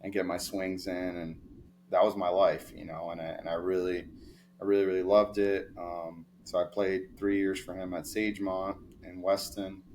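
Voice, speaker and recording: A young man with a deep, fairly flat voice tells stories in a loose, rambling way, full of fillers and restarts. He sounds like a sports agent chatting as an interview guest. A faint hiss sits under the recording. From New York, of Dominican family. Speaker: baseball player agent, guest. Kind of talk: casual interview storytelling.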